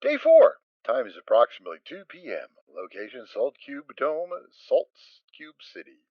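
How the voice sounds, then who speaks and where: A man's voice: medium pitch, huge pitch swings, moderate pace, no room sound. A man reading scripted story narration as a narrator, with a theatrical storytelling delivery. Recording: dry, slightly dull home recording.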